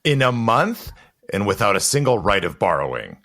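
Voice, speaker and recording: A deep-voiced, guarded gentleman, acted by a man for an audio drama. He is stern and protective, swinging widely in pitch and pausing for dramatic weight. He sounds intense and urgent, like someone who keeps dangerous secrets. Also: very animated, full character voice. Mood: shocked.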